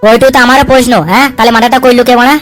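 A woman's voice: a little high, flat delivery, very fast, a bit bright, faint music behind, lightly acted. A woman voicing a foul-mouthed, hot-tempered male politician in a comic cartoon, coarse, bullying and full of threats. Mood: brooding, irritated. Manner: coarse, swearing, hectoring with repeated tag questions. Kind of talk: comic cartoon dub dialogue.

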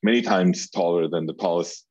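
A man's voice: sits low, fairly even intonation, normal speed, a little mellow, breathy edge, no echo, highs cut off. A man from Colombia, a furniture designer talking casually as an interview guest, rambling with many fillers and restarts.

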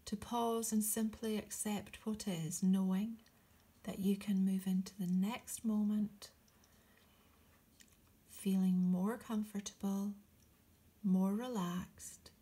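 Slow, breathy woman guiding a meditation. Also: medium pitch, fairly even intonation. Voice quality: soft, breathy, often near a whisper. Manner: gentle, soothing, unhurried with long pauses. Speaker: guided meditation host. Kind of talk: guided meditation, gently encouraging.

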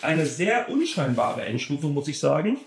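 A man gives a casual product review of car audio gear, eager and emphatic, doubling words to drive a point home. His voice sits fairly high for a man. The sound carries a noticeable room echo. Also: very animated, moderate pace, creaky, slight background noise. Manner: enthusiastic, emphatic, repeats words for stress. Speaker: car audio shop presenter.

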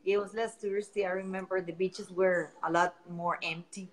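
A woman's voice: on the low side, fairly even intonation, moderate pace, rough recording. Woman with a Costa Rican Spanish accent. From Costa Rica. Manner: friendly, rambling, repeats words while searching for them. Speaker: guest, founder of a beach cleanup project. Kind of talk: casual interview answers.

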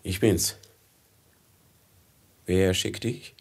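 An actor in a 1950s radio play, playing a feverish merchant haunted by doom, solemn and poetic, often sinking to a whisper. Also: male voice, low voice, steady tone, extremely slow, vocal fry, slightly rough, exaggerated voice. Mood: grave, commanding.

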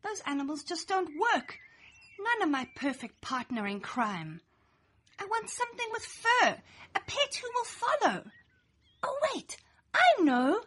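Bright, animated woman reading aloud. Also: very high voice, measured pace. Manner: lively, playful, sing-song storytelling. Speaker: storybook reader. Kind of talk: expressive read-aloud children's story in rhyme.

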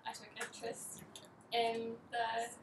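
A young woman chatting cheerfully and softly in a couple's vlog. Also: fairly high pitch, completely flat delivery, takes it slowly. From New Zealand. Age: young adult. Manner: cheerful, soft-spoken, giggly. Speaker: vlogger. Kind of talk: casual couple vlog intro. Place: apartment by big windows, indoors. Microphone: camera mic from a few feet away. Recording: roomy, distant, noisy.

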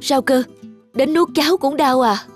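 A man's voice: very high-pitched, measured pace, faint music behind. A man voicing an anxious, devoted young husband in a cartoon, emotional and pleading. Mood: worried. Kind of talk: cartoon dub acting.

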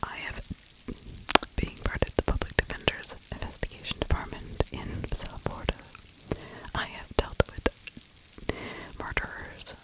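A middle-aged woman narrates in a soft, breathy whisper with no voiced tone. She sits right up on the microphone in ASMR fashion. Her delivery is conversational and opinionated, like someone sharing her own take on a story. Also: a little slow, long pauses, poor audio. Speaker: true crime ASMR host, narrator. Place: small indoor room. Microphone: close microphone with furry windscreen.

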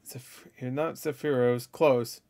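A man's voice: medium pitch, fairly even intonation, measured pace, a little mellow. A man giving casual reaction commentary as a host, with slangy, jokey, sweary talk.

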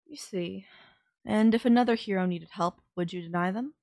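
A woman's voice: medium pitch, moderate pace, creaky, dark tone, close to an everyday voice. A woman playing a sardonic villain nemesis in an audio roleplay, scolding and teasing the listener in an exasperated but caring way. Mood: scolding care.

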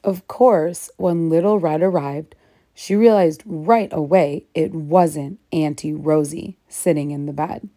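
Female children's storybook reader, slightly low pitch, very unhurried, emphatic on key words. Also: dark tone. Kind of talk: children's read-aloud narration.

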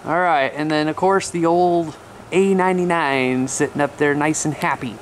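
A man's voice: a little high, fairly even intonation, normal speed. An American man in his thirties chatting casually to camera as a vlog host, laid-back and informal. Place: outdoors by a mobile home, later a small room indoors. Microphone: camera at arm's length. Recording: clear outdoor noise, handheld camera.

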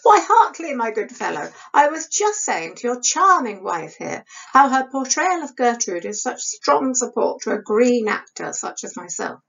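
A woman's voice: on the high side, very animated, normal speed, vocal fry, few pauses, fairly bright tone, distant mic, voice pushed up, exaggerated voice. A woman voicing a conceited, charming young male actor with effusive, formal, mock-humble speech in a period novel. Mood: effusive flattery. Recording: dull lo-fi webcam sound.

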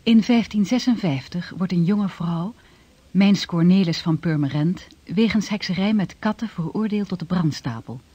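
A grave radio drama chronicler. The woman reads history with detachment in a husky, mellow voice, recorded dry and slightly dull. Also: fairly low pitch, moderate pace, no room sound. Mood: grave, detached.

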